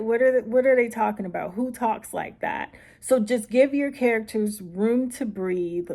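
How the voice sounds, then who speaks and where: A middle-aged woman with a mid-pitched voice speaks as a writing coach. Her delivery is informal and conversational, as if addressing each viewer directly. She is encouraging and puts emphasis on the points she wants remembered. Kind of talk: informal instructional talk to viewers.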